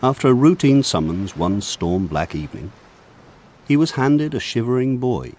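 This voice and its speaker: An actor playing a grown man looking back on his village childhood, narrating in a measured, lyrical way. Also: mid-range voice, sing-song, a little mellow, a little hiss or hum, natural voice. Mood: grave. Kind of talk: scripted literary narration for radio drama.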